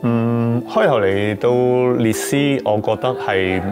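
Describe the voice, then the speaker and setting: A middle-aged man with a Hong Kong accent, speaking haltingly and reflectively in an interview, searching for his words. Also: medium pitch, steady tone, loud music behind the voice. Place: small book-lined room, indoors.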